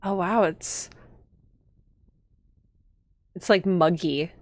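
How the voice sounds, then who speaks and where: A woman's voice: fairly low pitch, very slow, darkish tone, dry recording. A woman streaming a visual novel, reading it aloud and chatting with sympathetic, gushing asides over game music. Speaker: streamer, virtual YouTuber. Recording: music behind the voice.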